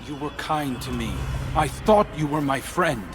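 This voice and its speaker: An actor playing a brooding, wounded man in a video game cutscene, earnest, intense and halting. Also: on the high side, music in the background, natural voice. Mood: hurt, betrayed. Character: brooding, wounded young male exile seeking truth.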